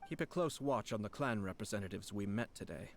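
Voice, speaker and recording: A man's voice: fairly low pitch, animated, a little hiss or hum. Composed, courteous young nobleman. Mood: calm, watchful. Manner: formal, measured, politely calculating. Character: courteous, composed young nobleman and clan head in a fantasy game. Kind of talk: video game voice acting.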